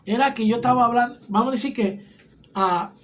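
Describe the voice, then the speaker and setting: Un hombre con acento puertorriqueño que enseña una clase bíblica, explicando paso a paso y preguntando "¿ok?" a menudo. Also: male voice, extremely high pitch, brisk, fairly bright tone. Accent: Puerto Rican Spanish. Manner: explanatory, often checks in with "¿ok?". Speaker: Bible teacher. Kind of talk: teaching a Bible class.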